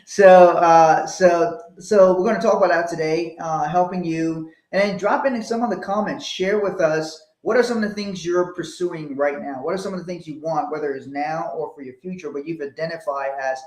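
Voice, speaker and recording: Liberia-born male co-host, a little high-pitched, earnest motivational delivery, roomy echo. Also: darkish tone. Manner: earnest, repeats words when starting sentences. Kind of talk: motivational talk. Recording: echoey room.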